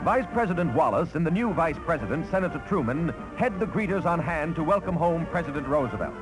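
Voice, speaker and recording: Male American newsreel announcer, 1940s. High-pitched, formal, declamatory, vintage soundtrack with music underneath. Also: expressive, fairly quick, poor audio. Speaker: newsreel narrator. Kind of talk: 1940s newsreel scripted narration. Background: loud music.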